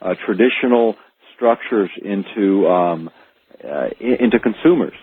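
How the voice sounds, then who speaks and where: A man speaking as an expert guest on a radio call-in show, explaining things in a halting but emphatic way. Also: lowish voice, breathy edge, no room sound. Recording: tinny phone-like radio line.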